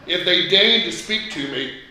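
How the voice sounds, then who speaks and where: American male author, fifties, comic memoir reading, fairly high voice, distant in a reverberant hall. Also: lively intonation, normal speed, bright, forceful tone. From Indiana, United States. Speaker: author reading own essay. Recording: very echoey room, distant mic, faint noise.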